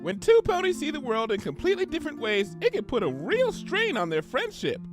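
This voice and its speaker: A man's voice: extremely high pitch, huge pitch swings, fairly quick, over music, voice pushed up, exaggerated voice. A man voicing a hyperactive, cheerful young female cartoon pony with a bubbly, gushing, rambling manner. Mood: earnest, chirpy.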